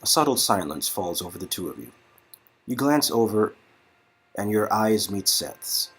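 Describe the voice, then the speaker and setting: A man with a husky voice giving scripted second-person narration for an interactive story. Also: lowish voice, very animated, unhurried, a bit bright.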